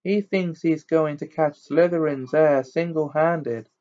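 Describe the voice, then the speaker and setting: A man's voice: fairly high pitch, unhurried, darkish tone, natural voice. A man voicing a snobbish teenage bully who drawls and sneers. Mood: sneering. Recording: dull lo-fi recording.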